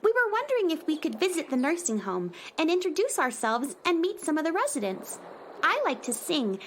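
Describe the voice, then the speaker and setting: Very high, bouncy little girl; eager, chirpy, loves to sing. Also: wildly swooping pitch, brisk, a bit bright, a little hiss or hum, heavily acted. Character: Mary Farfisa, a cheerful singing little girl. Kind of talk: audio drama acting.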